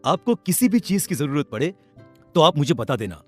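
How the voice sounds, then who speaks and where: A man playing an earnest, emphatic young villager in an animated story. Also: a little high, normal speed. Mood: polite, friendly. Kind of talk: animated Hindi moral story acting. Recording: music behind the voice.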